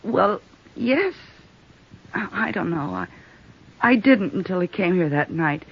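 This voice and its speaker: A woman's voice: mid-range voice, animated, takes it slowly, slightly rough, exaggerated voice. A woman playing a frightened, sullen young nightclub stripper in her twenties, pleading and defensive in an old radio drama. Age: 20s. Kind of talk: 1950s radio drama acting. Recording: dull old radio broadcast.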